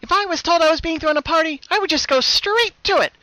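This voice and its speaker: A menacing pony girl doppelganger, played by a man in a dramatic story reading. The voice is very high and bright, bubbly and bouncy, with a huffy, impatient edge and big emphatic delivery. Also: quick pace, no room sound.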